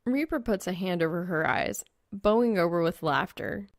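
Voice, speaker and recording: Low, creaky woman narrator. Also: animated, normal speed. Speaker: fan fiction audiobook narrator. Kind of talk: scripted story narration.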